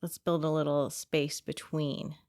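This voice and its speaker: A woman co-hosting a podcast, gently guiding and asking curious questions in casual chat. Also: low voice, level tone, a little slow. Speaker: podcast co-host, coach.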